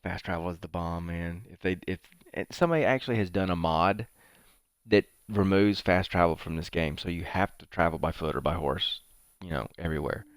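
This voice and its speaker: A man's voice: sits low, a little fast, dry recording, clean studio sound. A middle-aged man giving casual, rambling commentary while he plays a video game. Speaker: gaming show host. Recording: faint background noise.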